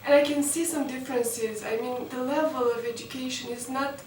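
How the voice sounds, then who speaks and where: A woman's voice: high-pitched, steady tone, brisk, a little mellow. A young woman from Romania, a student in her twenties, giving interview answers in an earnest, hesitant way with many fillers. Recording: roomy, distant mic, background noise.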